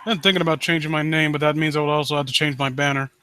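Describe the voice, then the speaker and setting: A man hosting a casual live stream, talking in a halting, rambling way with joking, foul-mouthed asides. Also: a little high, steady tone, bright, forceful tone, clear, resonant tone. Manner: rambling, halting, self-interrupting. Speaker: livestream host.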